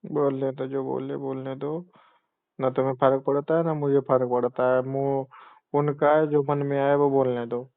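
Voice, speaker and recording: A man's voice: medium pitch, flat delivery, moderate pace, no room sound. Young man chatting on a tinny phone line. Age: young adult. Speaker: boyfriend on a personal phone call. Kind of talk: casual phone conversation. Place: phone call recording. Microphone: telephone.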